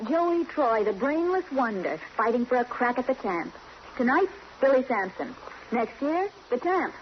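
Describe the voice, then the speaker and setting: A determined, wisecracking reporter from an old radio play. She speaks in a fairly high voice and pushes her point. The sound is dull, with faint noise. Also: very animated, measured pace. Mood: eager, sardonic. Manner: pointed, insistent. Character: brisk, determined, wisecracking female newspaper reporter. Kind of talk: 1940s radio drama acting.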